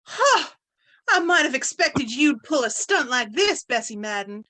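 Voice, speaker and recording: A woman's voice: sits high, very animated, a little slow, sharp tone, exaggerated voice. A woman playing a competitive, defiant small-town housewife in an old-time radio western, emphatic and catty. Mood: accusing, defiant.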